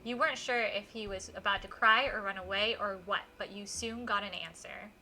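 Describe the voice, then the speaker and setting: Woman game streamer, bright forceful voice, animated casual read-along with faint music behind. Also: mid-range voice, fairly quick, rough recording. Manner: animated, reacts out loud to the story. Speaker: streamer playing a visual novel. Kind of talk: casual livestream chat and reading game text aloud.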